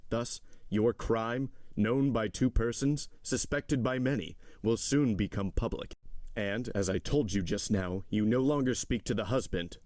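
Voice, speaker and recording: Guy doing a cold stern magistrate husband, low-ish voice, harsh n commanding, audiobook style. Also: measured pace, darkish tone, no room sound, lightly acted. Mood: grave, accusing. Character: stern imperious magistrate husband, coldly accusing, contemptuous. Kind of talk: dramatic audiobook character reading.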